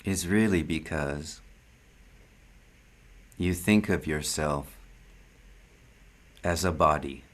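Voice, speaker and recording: A man with a deep, low voice teaches at a very slow pace. He delivers a meditative spiritual talk in short, deliberate phrases, leaving long pauses between them. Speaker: spiritual teacher leading satsang.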